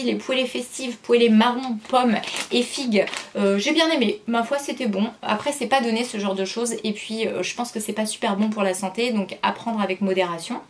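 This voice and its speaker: A woman's voice: mid-range voice, a little hiss or hum. A woman in her thirties chatting casually through a product review, full of hesitations and restarts. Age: 30s. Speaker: vlogger.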